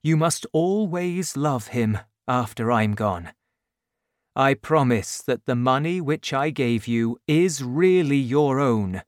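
A gentle, loving old man near the end of his life in a storybook reading, played by a male narrator. He speaks low, hushed and slow, each phrase soft and fading. The recording is close and dry. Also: expressive, no room sound. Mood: tender. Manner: quiet, soft, fading to a whisper.

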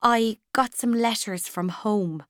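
A woman reading the lines of a sad, homesick young woman in a story, quiet and subdued. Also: a little high, slow, dry recording, studio quality.

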